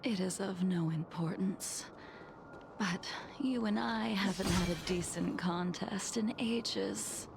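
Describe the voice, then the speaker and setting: Eine kühle, kratzige Schwertmeisterin. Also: female voice, lowish voice, creaky, background noise. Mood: taunting. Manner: cool, measured, quietly mocking. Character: aloof, stern swordswoman mentor, adult. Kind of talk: video game voice acting. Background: game music.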